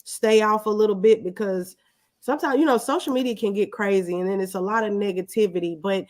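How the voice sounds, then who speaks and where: A woman's voice: medium pitch, fairly quick, darkish tone. Woman guest chatting casually. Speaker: interview guest, small business owner. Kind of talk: casual conversational storytelling.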